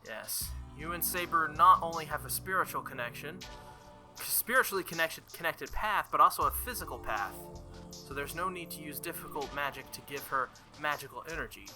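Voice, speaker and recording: Brisk young sorceress from a visual novel, practical, matter-of-fact, read by a man in his own voice, bright, fairly high. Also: soft background music. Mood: explaining. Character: confident young woman mage, brisk and practical.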